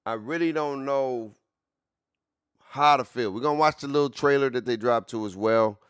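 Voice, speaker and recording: A man's voice: mid-range voice, normal speed, clean tone, dry recording, clean studio sound. A man in his late forties streaming casually, repeating himself with hype and constantly talking to his chat. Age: 40s. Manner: repetitive, hyped, keeps addressing chat.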